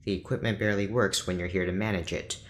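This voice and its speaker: A man playing a reserved, principled neurologist in an audio drama, speaking in a measured, formal, deliberate way with weary restraint. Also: low-pitched, fairly even intonation, slight background noise, close to an everyday voice. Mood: reluctant. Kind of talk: naturalistic audio drama acting.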